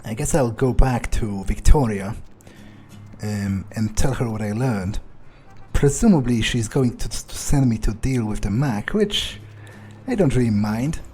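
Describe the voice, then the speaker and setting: A man's voice: mid-range voice, expressive, unhurried, darkish tone, slightly rough, soft background music. A man roleplaying his game character aloud, musing to himself with cold, menacing understatement. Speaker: gameplay video player.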